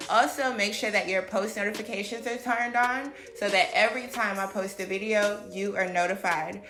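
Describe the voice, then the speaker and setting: A woman's voice: medium pitch, moderate pace, a bit bright. Chatty woman, roomy echo. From United States. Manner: chatty and upbeat, with frequent fillers and laughs. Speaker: cooking vlogger. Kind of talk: casual vlog narration. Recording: roomy echo with background music.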